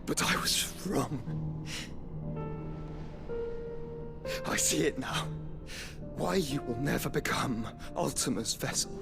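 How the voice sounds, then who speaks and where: Over swelling music comes a gentle young fantasy hero close to death. He speaks slowly and solemnly, his breath weak and often sinking toward a whisper. His manner is noble and resolute, measured but halting, in the style of a dramatic video game cutscene. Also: medium pitch, gravelly. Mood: weak, grave. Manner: halting, weakened, earnest, measured. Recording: orchestral music under the voice.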